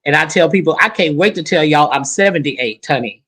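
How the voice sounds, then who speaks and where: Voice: mid-range voice, lively intonation, fairly quick, fairly bright tone. A talk show host in their fifties chatting casually with a guest, sassy and folksy, repeating points for emphasis. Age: 50s.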